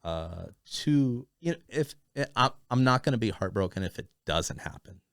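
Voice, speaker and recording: A man's voice: fairly low pitch, expressive, normal speed, no echo, clean studio sound. A man from Thailand, raised in Arizona, telling stories as a podcast guest in a casual, rambling way with many fillers and restarts. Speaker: podcast guest, business owner. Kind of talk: casual conversational storytelling.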